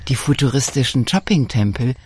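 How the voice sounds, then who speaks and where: A man reading scripted narration for a radio documentary feature in a calm, measured way. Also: medium pitch, a little hiss or hum.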